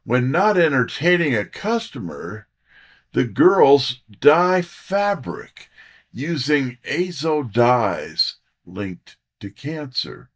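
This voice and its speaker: Man playing a street-smart, animated detective's sidekick. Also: mid-range voice, takes it slowly, heavily acted. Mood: wry. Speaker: detective's assistant and narrator. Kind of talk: first-person hard-boiled audio drama narration.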